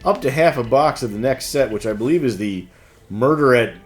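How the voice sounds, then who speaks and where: Male host, mid-pitched, casual and easygoing announcement read with hesitant banter, light music underneath. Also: expressive, brisk. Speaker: host of a game shop news show.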